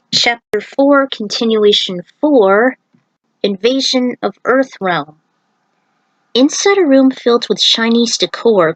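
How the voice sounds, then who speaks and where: A woman reads a story aloud in an informal, chatty style, slipping between narration and casual commentary. Her pitch sits in the middle of the female range. The sound is very dry, with little room echo. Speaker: narrator reading own fan fiction. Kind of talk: story reading with casual asides.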